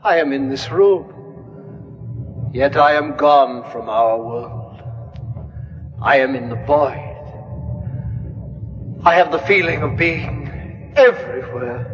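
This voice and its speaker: A man playing a brave young alien turned bodiless spirit, proclaiming in measured, otherworldly tones with an echo effect in an old radio drama. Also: mid-range voice. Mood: awed, detached. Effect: long echo on the voice. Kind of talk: old-time radio sci-fi drama acting. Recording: long reverb, dull old radio sound. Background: music.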